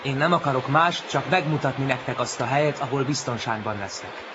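A man's voice: medium pitch, muffled recording, noisy background, lightly acted. A man playing a proud, defiant young male seal leader in an animal story drama, earnest and challenging.

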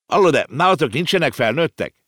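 An eager young boy from a storybook, bold and curious, bursting with excited exclamations, as a man puts him on. The voice leaps high above the reader's own and swings lively up and down. It sounds close and dry. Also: dry recording. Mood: puzzled.

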